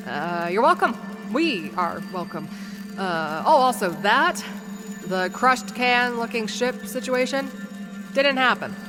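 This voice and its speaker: A woman narrating a comedic audio drama in the first person, rambling with lots of fillers and making wry asides to the listeners. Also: fairly high pitch. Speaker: narrator and lead character.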